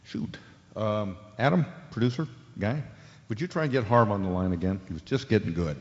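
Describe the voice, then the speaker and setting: A man's voice: fairly low pitch, brisk, natural voice. An American man playing a cocky, impatient sports talk radio host in an audio drama, smug and showy. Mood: exasperated. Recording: dull lo-fi audio, long reverb, music.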